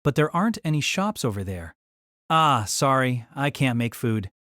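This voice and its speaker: Mild low-voiced teenage boy. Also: big pitch swings, moderate pace, a bit bright, no echo, clean studio sound, full character voice. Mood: mild, obliging. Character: mild, modest teenage boy, young military student. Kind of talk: anime-style audio drama acting.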